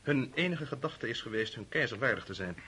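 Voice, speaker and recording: A man's voice: medium pitch, animated, brisk, bright, forceful tone, slight background noise. An actor in a radio play voicing a deferential colonel, formal and careful as he addresses his emperor. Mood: respectful, uneasy. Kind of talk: radio drama acting.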